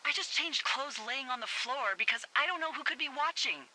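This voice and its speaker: Bright, sardonic American radio voice. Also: female voice, high-pitched, moderate pace, heavily acted, rough recording. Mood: exasperated. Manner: blunt, dry, profane. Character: sardonic, anxious woman supervising over radio. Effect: two-way radio. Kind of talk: naturalistic video game dialogue. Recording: hissy radio transmission.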